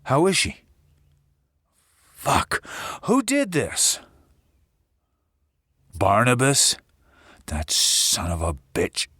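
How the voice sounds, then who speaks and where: A close, dry recording of a guarded sheriff in an audio drama. He is blunt and commanding, unhurried in pace but with lively swings in pitch. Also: breathy edge, no room sound, full character voice. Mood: urgent. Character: gruff, guarded small-town sheriff taking charge.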